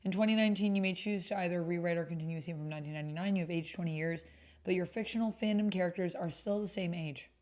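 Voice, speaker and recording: Woman, slightly low pitch, livestream host, casual commentary, reading aloud, chatty asides. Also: racing, darkish tone. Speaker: livestream host and tabletop roleplay player.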